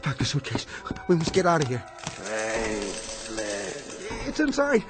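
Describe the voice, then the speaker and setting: An actor playing a terrified, unhinged husband in a radio drama, pleading frantically and repeating himself. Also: male voice, medium pitch, wildly swooping pitch, a little slow. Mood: panicked, insistent. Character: terrified, unhinged husband fleeing an unseen monster. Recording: loud dramatic music behind.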